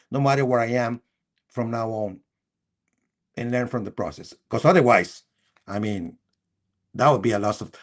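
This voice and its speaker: Costa rican guy, native spanish speaker, sports show host, halting and repeats himself, punches certain words. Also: medium pitch. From Costa Rica. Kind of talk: live sports discussion.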